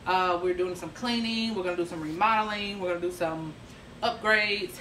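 A woman's voice: on the low side, moderate pace, sharp tone, a little hiss or hum. A woman in her thirties, chatty and full of ums, filming a home renovation vlog on her phone in a large kitchen. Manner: chatty, thinking aloud with many ums. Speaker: vlogger. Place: large kitchen of an old house. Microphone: phone at arm's length, later propped far off. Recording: echoey room.

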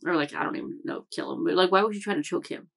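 A woman's voice: lowish voice, very fast, creaky, fairly bright tone. A young woman hosting an anime reaction channel, rambling casually from a room at home, with lots of fillers and self-corrections. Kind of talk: casual chat recap.

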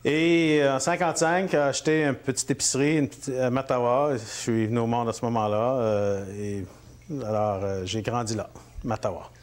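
A man's voice: mid-range voice, fairly even intonation, moderate pace. A man in his forties with a Franco-Ontarian French accent, a guest in a home interview, talking casually with many fillers and restarts. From Northern Ontario, Canada. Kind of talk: relaxed interview conversation. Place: living room at home.

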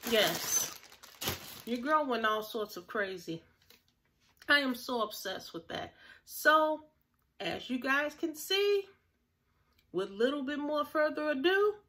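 Woman, 30s, upbeat home vlogger; slightly high, unhurried, a touch nasal; phone-mic close. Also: fairly bright tone. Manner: chatty, upbeat, addresses viewers directly. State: slightly nasal, recovering from illness. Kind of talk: casual haul vlog. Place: small room at home, plain grey wall.

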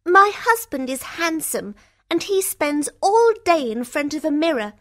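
A woman's voice: high voice, sing-song, a little slow, pitched above the performer's own voice. A woman reading a storybook aloud and voicing two unhappy, envious adult sisters in a whiny, plaintive way. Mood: complaining.

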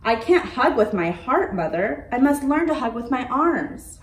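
A woman's voice: sits high, big pitch swings, a little slow. A woman voicing an earnest little T-Rex. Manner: formal, careful speech without contractions. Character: tiny earnest young T-Rex, polite and determined. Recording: roomy, from a distance.

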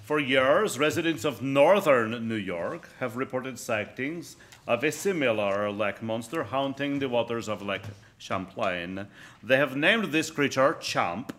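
Skeptical American radio interviewer acted by a man, middle-aged, medium pitch, dry exasperation, live stage comedy. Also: animated, unhurried, a bit bright. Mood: formal, introducing. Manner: dry, incredulous. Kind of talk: live comic radio theater acting. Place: theater stage with live audience.

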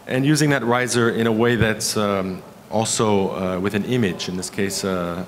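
A man in his fifties giving a conference keynote as an architect, with a halting delivery full of pauses. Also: fairly low pitch. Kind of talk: conference presentation with slides. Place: conference hall stage at a lectern. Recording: clear background room noise.

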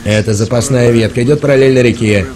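An actor playing a calm, commanding army lieutenant in a dubbed war drama, speaking tersely and with authority. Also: male voice, mid-range voice, fast, crowd noise, natural voice. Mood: matter-of-fact.

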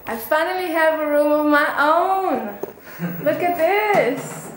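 Young woman chatting slowly, swearing casually. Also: high-pitched, roomy sound, slight background noise, rough recording. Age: 20s. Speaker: travel vlogger. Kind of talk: casual vlog with room tour. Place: small rented room in a residence. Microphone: handheld camera at arm's length.

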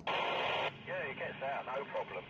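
A man's voice: mid-range voice, moderate pace, pushed and bright, dull audio. A man with an English accent chatting casually over a crackly two-way radio. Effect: two-way radio speaker. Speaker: CB radio operator. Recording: crackly, very noisy CB radio reception.

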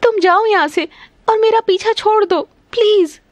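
A woman's voice: extremely high pitch, a little slow, dry recording, pitched above the performer's own voice. A woman voicing a distressed young serpent's daughter in a cartoon story, pleading and plaintive. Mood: upset, pushing away.

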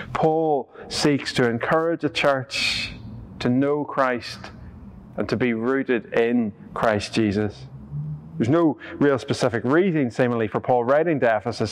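A man in his thirties with a Northern Irish accent, preaching a sermon in earnest, steady tones inside a church, with the odd filler. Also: mid-range voice. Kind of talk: sermon reflection. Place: church interior, standing before the altar.

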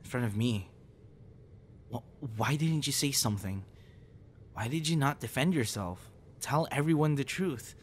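A young man performing an intimate audio roleplay as a troubled guy confiding in a close friend after a breakup, halting and stammering. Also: a little high, natural voice. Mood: upset, incredulous. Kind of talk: intimate ASMR boyfriend roleplay, acted monologue. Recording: noticeable background noise.

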